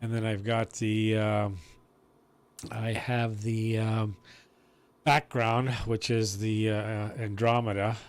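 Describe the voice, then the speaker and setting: A man hosting an on-air chat and live stream, talking in a relaxed, halting way with many fillers. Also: lowish voice, clear, resonant tone.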